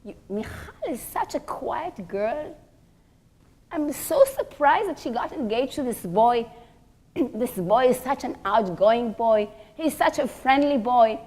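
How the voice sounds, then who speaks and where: A woman's voice: on the high side, lively intonation, slow pace, darkish tone, poor audio. A woman storyteller voicing a gossipy young woman who is chatty, emphatic and judgmental. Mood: surprised, judgmental.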